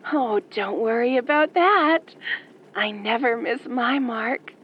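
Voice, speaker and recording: A woman's voice: a little high, slow, breathy edge. A woman playing a starstruck, sweetly eerie young caller to a radio show, gushing in a sugary way over a muffled line. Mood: gushing, eager. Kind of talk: radio call-in roleplay. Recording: muffled phone-in line with faint noise.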